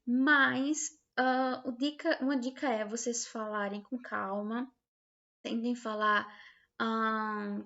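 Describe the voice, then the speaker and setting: High-voiced Brazilian woman, muffled recording. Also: gravelly, fairly bright tone. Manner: hesitant, explanatory, checks understanding with short tags. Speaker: instructor. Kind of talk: informal software tutorial, screen demonstration.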